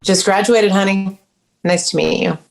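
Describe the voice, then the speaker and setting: A woman's voice: medium pitch, fairly even intonation, normal speed, vocal fry, faint music behind, close to an everyday voice. A woman playing a haggard, boozy woman in her forties, bitter and sardonic, in a screenplay table read. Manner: sardonic, blunt.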